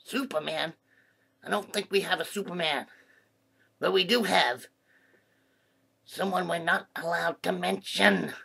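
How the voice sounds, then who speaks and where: Mischievous duck for kids' comedy, female performer: very gravelly, rough-edged, slightly low. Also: measured pace, pauses often, sharp tone, full character voice. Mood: conspiratorial. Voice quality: creaky, husky. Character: playful, mischievous talking duck who loves pressing buttons. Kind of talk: children's comedy skit.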